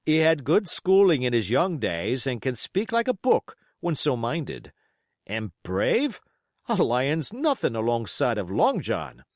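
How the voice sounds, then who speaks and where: A man voicing an admiring old ship's coxswain with rough, colloquial sailor's talk in a storybook reading. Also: medium pitch, wildly swooping pitch, measured pace, no room sound, studio quality.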